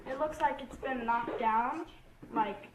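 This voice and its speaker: High-voiced girl pupil, distant and echoey. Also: slow. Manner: hesitant, thinking aloud, frequent "like". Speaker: pupil answering in class. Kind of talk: tentative classroom answer. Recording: distant echoey classroom mic, noisy background.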